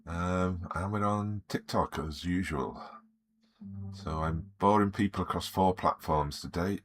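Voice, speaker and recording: A man with a croaky sore throat, chatting easily with live viewers and greeting them by name while he works on a drawing. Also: sits low, unhurried. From Wales. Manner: easygoing, greets viewers by name, self-deprecating. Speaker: artist streaming live. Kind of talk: relaxed live-stream chat while drawing.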